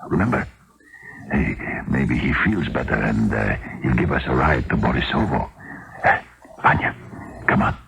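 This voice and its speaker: An actor playing a scheming, talkative young vagrant in old Russia, with a hesitant, ingratiating manner, in a 1970s American radio drama. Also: male voice, very deep voice, animated, moderate pace, creaky, pauses often, noisy background, full character voice. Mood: sly, conspiratorial.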